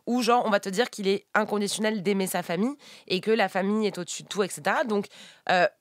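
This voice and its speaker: A woman's voice: medium pitch, fast, no room sound, clean studio sound. A woman in a casual group chat, thinking aloud hesitantly and leaning on fillers as she poses a question.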